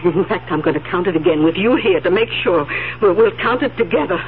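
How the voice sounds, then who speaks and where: An actress playing a flustered, stammering older aunt who frets and repeats herself, in a 1970s radio drama. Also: female voice, on the low side, lively intonation, brisk, slight background noise, lightly acted. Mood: anxious, distressed. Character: fretful, trusting old aunt hoarding cash. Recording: muffled, phone-like vintage radio broadcast.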